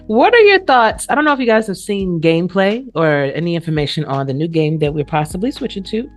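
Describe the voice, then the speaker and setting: An animated woman hosts a casual talk show. Her pitch moves around freely as she puts questions to a panel of guests. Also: brisk, faint music behind.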